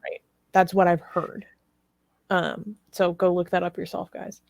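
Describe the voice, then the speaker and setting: Hesitant woman with a lowish voice. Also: flat delivery, a little slow, creaky, no echo. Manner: hesitant, careful to qualify claims. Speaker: talk show co-host. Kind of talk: casual news discussion.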